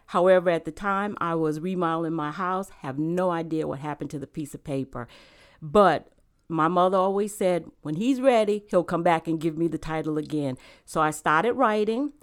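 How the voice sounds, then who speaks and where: A woman's voice: low-pitched, normal speed. A woman who grew up in Rhode Island, speaking earnestly about her faith as a guest and author in a casual interview. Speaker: guest, church member and author.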